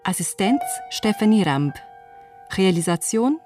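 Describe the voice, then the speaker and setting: A woman's voice: medium pitch, animated, unhurried. A woman announcer reading out the credits of a radio play in a scripted style. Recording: music playing behind the voice. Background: music.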